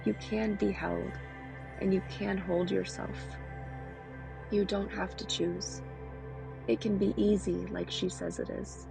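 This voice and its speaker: A woman performs a poetic monologue in an earnest, intimate way. Her medium-pitched voice has a gravelly fry, and music plays behind her. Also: level tone, takes it slowly, pauses often. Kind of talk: scripted poetic monologue reading.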